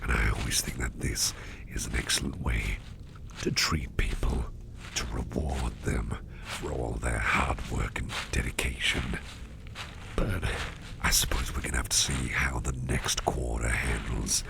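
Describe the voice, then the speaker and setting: Guy doing a slow, gravelly, mischievous boss with sharp teeth, low and whispery. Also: steady tone, background noise, full character voice. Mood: confiding. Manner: soft half-whispered, teasing and chummy. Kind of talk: ASMR roleplay.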